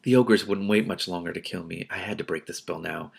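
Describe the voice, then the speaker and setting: An expressive, excitable man reading a book aloud as a host, breaking in with his own commentary. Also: low voice, a little fast, vocal fry, husky. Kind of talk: expressive audiobook-style reading with spontaneous commentary.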